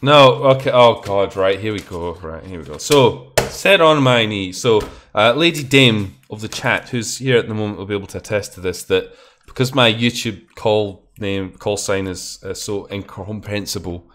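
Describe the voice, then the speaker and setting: A man's voice: fairly low pitch, normal speed, hardly any pauses, a bit bright. A young Scottish man hosting a live stream, chatting casually and rambling, with plenty of swearing and false starts. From Scotland. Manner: rambling, swearing, often restarts and exclaims. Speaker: stream host.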